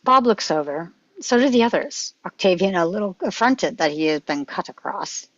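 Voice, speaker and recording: A woman's voice: fairly low pitch, lively intonation, moderate pace, dry recording. A woman reading stage directions as scripted narration at a table read, on a slightly dull microphone.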